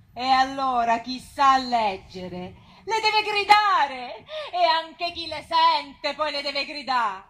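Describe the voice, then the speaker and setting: A woman's voice: high-pitched, a bit bright, exaggerated voice, low-quality recording. A woman acting a spirited working-class town crier of old Rome, playful and proclaiming. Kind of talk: popular street theatre.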